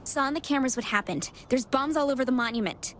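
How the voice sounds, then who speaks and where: A dry, sardonic hacker. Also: female voice, high-pitched, lively intonation, fast, fairly bright tone, a little hiss or hum. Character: sardonic female hacker on radio.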